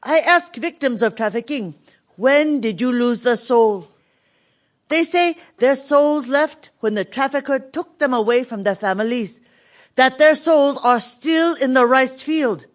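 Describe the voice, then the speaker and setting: An actress playing a dignified, resolute Cambodian government minister in a dramatic radio theatre monologue. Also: female voice, a little high, expressive, measured pace. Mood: solemn, sorrowful. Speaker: actress in an audio play.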